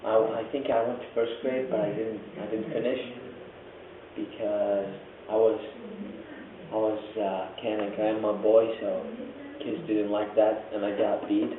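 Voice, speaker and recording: A man's voice: on the low side, flat delivery, measured pace. A man in his late teens with a Middle Eastern accent tells his own story hesitantly, in non-native English phrasing. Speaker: documentary interview subject. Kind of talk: personal testimony. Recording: very echoey, muffled, faint noise.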